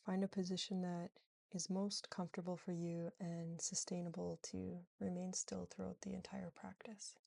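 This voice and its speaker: A woman leads a guided relaxation in a low-leaning, soothing voice with a slight fry. She moves gently and without hurry from phrase to phrase, leaving space between them. The sound is close and dry. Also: flat delivery, no room sound. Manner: soothing, gentle, unhurried with long pauses. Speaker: yoga nidra guide.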